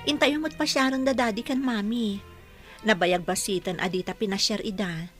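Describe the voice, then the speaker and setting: A woman's voice: medium pitch, normal speed, over music, natural voice. A woman with an Ilocano accent playing a sweet, forgiving young wife in a radio drama, speaking earnestly. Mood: gentle, earnest.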